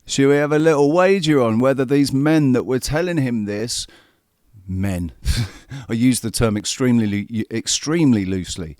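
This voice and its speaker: A man's voice: medium pitch, normal speed, a little mellow, no room sound. Forties guy hosting, mad and sweary, keeps repeating stuff for punch, dry close sound. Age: 40s. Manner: angry, profane, repetitive emphasis. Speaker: show host commenting on a played clip. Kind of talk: heated political commentary.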